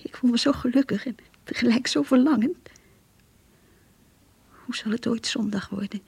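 A woman playing an earnest, lovestruck young girl in a radio play, emotional and yearning, with a breathy, husky voice. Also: on the high side, moderate pace, darkish tone, no echo, heavily acted. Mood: happy yearning. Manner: emotional, yearning, polite and shy. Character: lovestruck, earnest young schoolgirl graduate reading her diary. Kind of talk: Dutch radio play acting, diary narration and dialogue.

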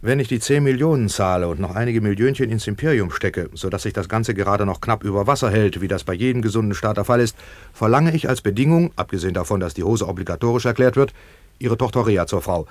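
An actor playing a brash, self-important merchant tycoon in a radio play, talking in a pompous, emphatic business manner. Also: male voice, fairly low pitch, fast, no room sound, close to an everyday voice. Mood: demanding. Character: brash, self-important tycoon trouser manufacturer in ancient Rome. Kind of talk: German radio play acting.

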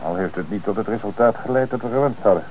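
An actor playing a calm, authoritative police commissioner in an old radio play, on a noisy old radio recording. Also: male voice, fairly low pitch, lightly acted. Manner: measured, authoritative. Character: middle-aged male police commissioner, calm and dry. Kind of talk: 1960s radio play acting.

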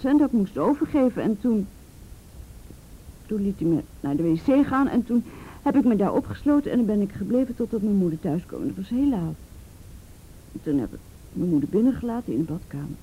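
A woman's voice: mid-range voice, phone-quality sound, a little hiss or hum, natural voice. An actress playing a frightened teenage girl in a Dutch radio play, stammering and repeating words as she recounts painful memories. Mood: shaken, subdued.

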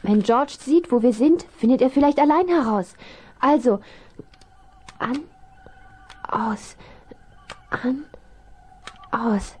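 A woman's voice: sits high, animated, slow pace, long pauses, darkish tone. A woman acting a brave, bossy young girl in a children's audio drama, speaking urgently and decisively. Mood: resolute. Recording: hissy 1970s vinyl radio play.

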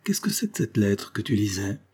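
A man's voice: fairly low pitch, sing-song, moderate pace, dark tone, husky. A man voicing a jealous husband in a storybook reading, suspicious and anguished, given to growling, stammering outbursts.

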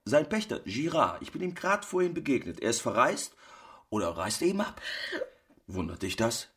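A man's voice: medium pitch, big pitch swings, moderate pace, fairly bright tone, husky. A man playing a good-natured, unsuspecting husband in a radio drama, chatty and homely in manner. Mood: casual chatting.

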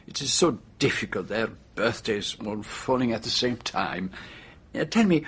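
A man's voice: lowish voice, lively intonation, moderate pace, a bit bright, husky, full character voice. An actor playing an older male detective in a radio drama, formal and courteous, with a French-speaking European accent and polite hesitations. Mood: courteous, coaxing. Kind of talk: BBC radio drama acting.

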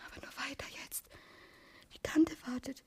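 A woman playing a shy, anxious young niece in a radio drama, hushed and hesitant, as if thinking aloud. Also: high voice, steady tone, moderate pace, lightly acted. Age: young adult. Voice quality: breathy, whispery. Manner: agitated, hesitant. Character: shy, anxious poor young niece, overwhelmed by a grand hotel.

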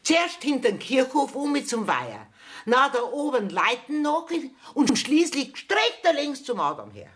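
A woman's voice: high-pitched, big pitch swings, moderate pace, fairly bright tone. An actress playing a blunt, feisty peasant woman in a radio play, telling her tale emphatically in southern German dialect. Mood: earthy, confiding. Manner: emphatic, earthy storytelling. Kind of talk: 1970s radio drama acting in dialect.

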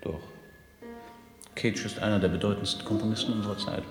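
An actor plays an urbane, fussy, self-assured gentleman in a radio play. His lowish voice is cultured and condescending. It rings in a reverberant room with music behind. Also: steady tone, brisk, lightly acted. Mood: admiring, reflective. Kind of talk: German radio drama acting.

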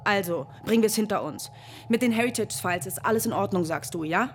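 A woman's voice: mid-range voice, animated, quick pace, a little hiss or hum, close to an everyday voice. An actress playing a lone female astronaut who whispers to herself as she pulls herself together. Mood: determined.

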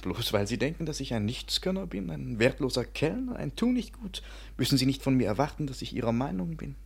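A man playing a touchy, self-important Parisian painter in a 1950s German radio drama, pompous in manner, with a gravelly, husky voice. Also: medium pitch, sing-song, brisk, full character voice. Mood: haughty. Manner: haughty, pompous.

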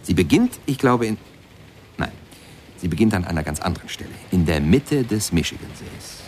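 An actor playing a reflective former steamboat pianist who tells his memories in measured, lyrical radio drama narration. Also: sits low, sing-song, darkish tone, a little hiss or hum. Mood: wistful storytelling. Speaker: narrator and protagonist of a radio play.

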